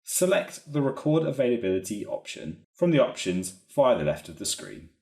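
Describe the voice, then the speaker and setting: A man narrating a software training walkthrough in a methodical, explanatory way. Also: medium pitch, very animated, normal speed, vocal fry. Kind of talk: instructional screen-recording narration. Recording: roomy, echoey voice recording.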